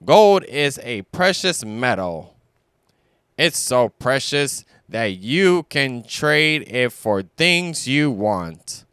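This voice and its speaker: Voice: medium pitch, very animated, very slow, a bit bright, clear, resonant tone, no echo, clean studio sound, exaggerated voice. A narrator voicing a pompous, learned male owl who explains things, in a children's storybook. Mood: patient explaining.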